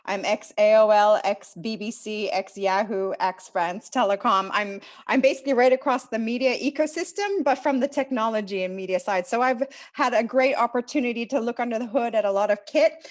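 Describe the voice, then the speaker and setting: Woman from Canada, fairly high voice, panelist on a video call, fluent and explanatory. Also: brisk, few pauses. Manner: fluent, explanatory, with frequent "you know". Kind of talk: panel discussion.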